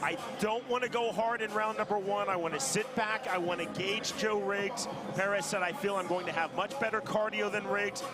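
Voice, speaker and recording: High-voiced polished fight commentator. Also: male voice, steady tone, moderate pace, few pauses, loud music behind the voice. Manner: polished, formal broadcaster delivery. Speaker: play-by-play commentator.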